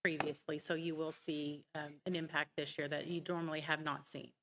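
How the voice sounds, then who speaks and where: A woman's voice: sits low, level tone, fairly quick. A woman city finance official giving a matter-of-fact, explanatory budget presentation at a council meeting. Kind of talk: formal public budget presentation. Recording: noisy public meeting recording with clicks.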